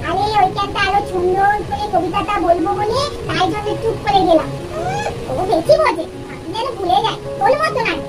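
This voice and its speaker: A cheeky little child doll in a puppet story, extremely high and squeaky. The delivery is sing-song and petulant. Loud music runs under the voice. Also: female voice, expressive, brisk, low-quality recording. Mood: petulant, argumentative. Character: cheeky bickering child doll reciting poems.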